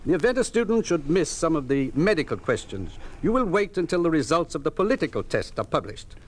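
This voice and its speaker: Officious official, higher-pitched and animated. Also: male voice, fast. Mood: matter-of-fact. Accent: American. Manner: formal, bureaucratic, dismissive. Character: officious, cold regime hospital official. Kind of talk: 1950s radio drama acting. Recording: old 1950s radio recording.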